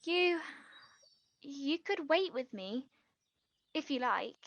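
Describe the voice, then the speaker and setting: An actress playing an earnest, tender younger woman in a stage play, speaking haltingly and trailing off. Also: high-pitched, animated, fairly bright tone, no room sound, heavily acted. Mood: shy, hopeful. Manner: halting, trailing off mid-sentence. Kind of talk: stage play acting, quiet two-hander.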